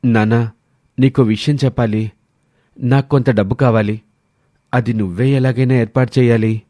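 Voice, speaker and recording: A man's voice: medium pitch, fairly even intonation, normal speed, dark tone, no echo, studio quality, lightly acted. A man voicing an ambitious, self-serving grown son in scripted story dialogue. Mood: persuading.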